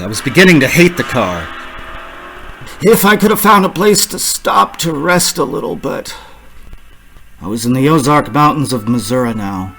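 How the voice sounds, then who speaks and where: A man playing a haunted, increasingly frantic cross-country driver in a suspense radio play, intense and dramatic, halting when agitated. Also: medium pitch, bright, forceful tone, poor audio. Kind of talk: suspense radio play acting with first-person narration.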